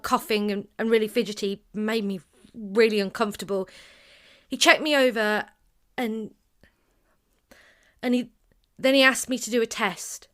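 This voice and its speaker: An actress plays a young female survivor in an audio drama. She is blunt, troubled and sardonic, speaking naturally in a fairly high voice with halting hesitations. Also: fairly bright tone, lightly acted. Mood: nervous.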